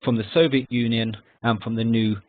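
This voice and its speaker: A man with a mid-pitched voice delivers a scripted history lecture. His phrasing is formal and measured. The audio is narrow and tinny, like a phone or online call. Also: steady tone. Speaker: editorial consultant giving a lecture.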